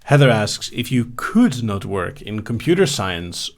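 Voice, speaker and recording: A man answers questions from a live chat in a calm, measured way, with dry humor. His voice sits low and has a gravelly edge. His pitch moves around a lot as he talks. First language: Dutch.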